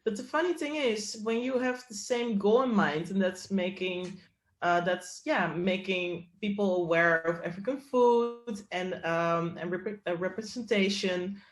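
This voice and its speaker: A woman's voice: mid-range voice, a little slow. A woman from the Netherlands, a company co-founder, talking as a guest in a casual interview and telling stories with laughter. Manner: laughing, lively storytelling. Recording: roomy echo.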